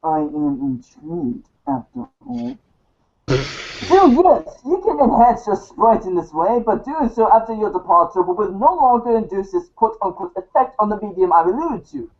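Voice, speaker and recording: A woman playing a verbose, dryly self-important guide writer, delivering a formal, arch monologue for a storybook show, halting in places. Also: mid-range voice, big pitch swings, darkish tone. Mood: arch, intrigued. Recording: dull, lo-fi recording.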